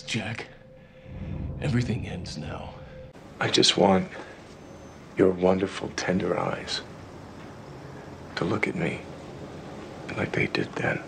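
A grown man acting in film scenes as a grim, restrained figure who speaks low and intensely, often close to a whisper. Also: heavily acted. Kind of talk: film dialogue. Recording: film soundtrack with clear background noise.

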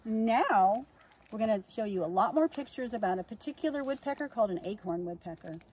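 A woman's voice: mid-range voice, expressive, a little fast, a little mellow, breathy edge. Middle-aged woman explaining nature to young children. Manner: explanatory, simple wording with questions to listeners. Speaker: nature educator and presenter. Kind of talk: educational narration for young children.

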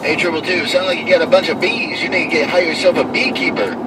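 A man's voice: on the high side, steady tone, quick pace. An American man bantering casually as a CB radio operator, joking and repeating his phrases, in a vehicle. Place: inside a vehicle. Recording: loud radio and vehicle noise, distant mic.

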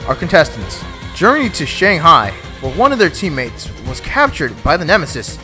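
A man narrates a recap in a dramatic announcer tone. His pitch sits a bit high and moves a lot. Clear music plays under the voice-over. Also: wildly swooping pitch, normal speed. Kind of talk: scripted narration of a video recap.

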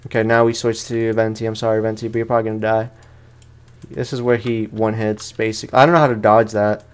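A young man giving casual, slangy let's play commentary with animated, playfully exasperated reactions, as a gaming YouTuber. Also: fairly low pitch, fast, smooth. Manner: slangy, informal.

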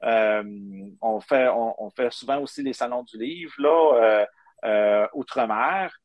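A middle-aged man with a Quebec French accent talks as an interview guest, explaining in an informal way. His pitch is average for a man, and the sound is dry with very little room. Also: takes it slowly, no room sound, muffled recording. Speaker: guest, maker of digital book bookmarks. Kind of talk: conversational explaining in a live interview. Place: indoor room, on a video call. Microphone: webcam video call.

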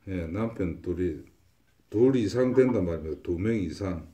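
A man's voice: sits low, lively intonation, moderate pace, dark tone. A man giving a fortune-telling consultation, stating predictions confidently and repeating himself. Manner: confident, repetitive pronouncements. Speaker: fortune teller.

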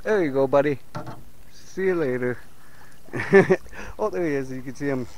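A man vlogging from a small boat on a lake, chatting casually to viewers and getting excited as he fishes. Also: medium pitch, normal speed, slight background noise. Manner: excitable, talks to viewers as "you guys". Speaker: fishing vlogger. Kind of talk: casual on-water fishing commentary.

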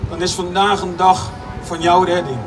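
Distant high-voiced man interpreting a sermon. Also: level tone, moderate pace. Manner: restating each line, some restarts. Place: outdoor square by a stage. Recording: distant PA voice, music behind.

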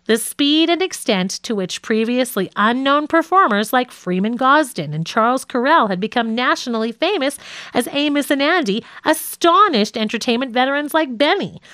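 High-pitched, lively woman narrating an audiobook. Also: moderate pace, clean tone, no room sound, studio quality. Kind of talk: scripted nonfiction audiobook narration.

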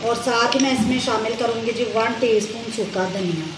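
A woman's voice: a little high, moderate pace, roomy sound, background noise. A woman hosting a home cooking channel, chatting politely through a recipe step by step and peppering her talk with blessings, recorded on a phone in her kitchen. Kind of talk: casual step-by-step recipe narration.

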